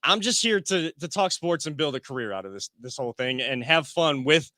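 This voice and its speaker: A man leads a casual sports discussion in a bright, somewhat high voice. He works through his points aloud and restarts his sentences often. The recording is close and dry. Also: expressive, brisk, no echo. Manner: thinks aloud with frequent restarts. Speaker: sports podcast host. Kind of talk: casual sports talk show.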